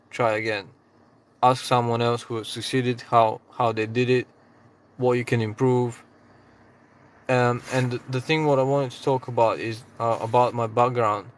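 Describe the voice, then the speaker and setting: A man speaking English as a second language, talking casually to camera about success and mindset. His voice sits at an ordinary middle pitch. He rambles, restarts words mid-thought, and drops the odd curse word. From outside the UK. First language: not English. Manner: rambling, self-correcting, swears freely. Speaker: vlogger, trading channel host. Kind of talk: casual motivational talk to camera.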